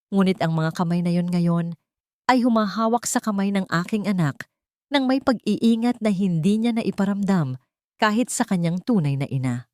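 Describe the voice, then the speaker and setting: A woman's voice: lowish voice, darkish tone, no room sound, clean studio sound. A woman narrating a scripted first-person story for an audio drama.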